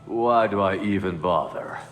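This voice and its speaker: A man's voice: deep voice, measured pace. A man playing a shifty, cowardly alien underworld middleman in a video game, with a hesitant, blustering way of talking. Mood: flustered, nervous. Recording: clear background noise.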